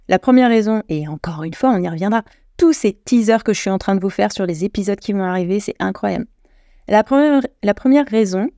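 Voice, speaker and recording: A woman's voice: mid-range voice, mellow, smooth, no room sound, clean studio sound. A French woman hosting her own podcast, chatting at length, often correcting herself and searching for words. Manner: chatty, self-deprecating, many restarts and self-corrections. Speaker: podcast host. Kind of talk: solo podcast monologue.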